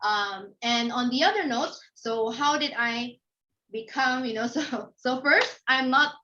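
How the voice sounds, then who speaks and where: A middle-aged woman from the Philippines with a Filipino accent gives an informal motivational talk, telling personal anecdotes in an animated way and acting out thoughts and remarks. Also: a little high, a little slow. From Philippines (Manila). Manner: animated storytelling, acts out inner thoughts and quoted remarks. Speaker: guest speaker, company founder. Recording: dull, lo-fi audio.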